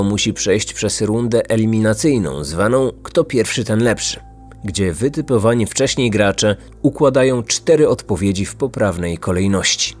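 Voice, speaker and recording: A man from Poland in his thirties narrating a scripted story as a podcast host. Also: fairly low pitch. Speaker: true crime podcast host. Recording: music bed behind the voice. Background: background music.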